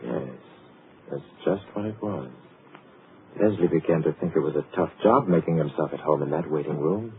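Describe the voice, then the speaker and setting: Super deep guy narrating an old radio drama, dry and wry, tinny hissy sound. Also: moderate pace.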